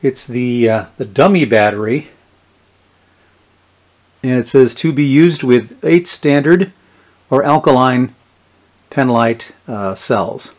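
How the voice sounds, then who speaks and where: A middle-aged man gives a casual, unscripted product demonstration, haltingly thinking aloud as he goes. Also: medium pitch, expressive. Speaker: presenter of a vintage electronics channel. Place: indoors, small tabletop product-shooting setup.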